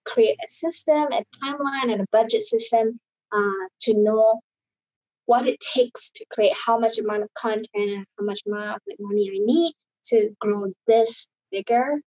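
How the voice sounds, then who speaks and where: Woman, from Indonesia, somewhat high voice, husky, rambling casual chat, video call. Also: moderate pace, mellow, dry recording, poor audio. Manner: rambling, many fillers and restarts. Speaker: guest.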